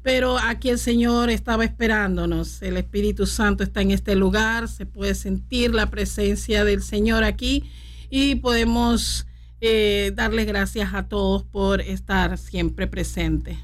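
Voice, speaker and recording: A middle-aged woman from Panama, a pastor speaking as a radio guest in a studio, fervent and brisk with hesitant fillers. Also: mid-range voice, steady tone.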